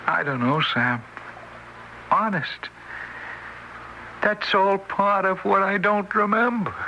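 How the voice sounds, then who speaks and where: A timid, apologetic American male ghost in an old radio play. His voice is fairly high, very sharp and creaky. He stammers and hesitates like a bumbling amateur, over faint vintage hiss. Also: slow, heavily acted, poor audio. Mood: sheepish, hesitant. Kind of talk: mid-century American radio drama acting.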